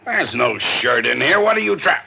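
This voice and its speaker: Gravelly, suspicious radio gangster. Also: male voice, fairly high pitch, very animated, moderate pace, pushed and bright, heavily acted. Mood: suspicious, demanding. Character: suspicious male gangster running a funeral parlor. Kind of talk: 1940s radio crime drama acting. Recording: muffled, noisy old radio recording.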